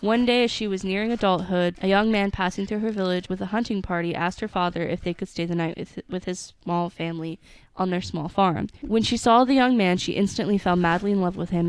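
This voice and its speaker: A woman's voice: on the low side, darkish tone, clean tone, dry recording, poor audio. A woman co-hosting a podcast, reading a story aloud with joking asides to her co-hosts. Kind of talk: casual chat and story reading.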